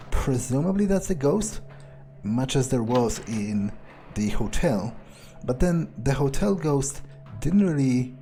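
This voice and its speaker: Man, dark relaxed tone, hesitant thinking-aloud roleplay, nonchalant, gaming playthrough, faint music behind. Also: mid-range voice. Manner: nonchalant, musing, hesitant phrasing. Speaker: gamer doing an in-character playthrough. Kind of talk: improvised in-character game commentary, thinking aloud.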